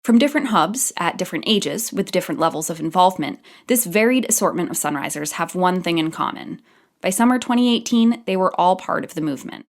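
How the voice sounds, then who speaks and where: A woman narrating a documentary podcast from a script, in a clear, measured explaining tone. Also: lowish voice, expressive.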